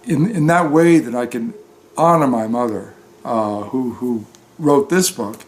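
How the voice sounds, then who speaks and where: A man speaks at a slow pace with a middling pitch. He sounds like an amateur book reader chatting off the page, hesitant and halting as he goes. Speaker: story reader. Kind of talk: narrated book reading, then casual unscripted talk.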